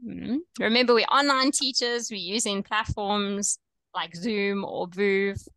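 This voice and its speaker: A young woman with a South African accent, coaching online teachers over a video call in a relaxed, chatty way with frequent ums. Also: mid-range voice, measured pace, no room sound. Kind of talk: webinar presentation.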